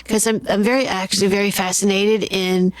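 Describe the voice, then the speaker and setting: A woman's voice: mid-range voice, flat delivery, brisk, no room sound, poor audio. Curious woman host, dry close sound. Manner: curious, thoughtful questioner who rephrases ideas. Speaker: podcast host. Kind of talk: casual interview chat.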